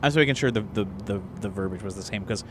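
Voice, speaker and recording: A man playing a tabletop game, describing his actions in informal, halting, improvised chat. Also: lowish voice, noisy background.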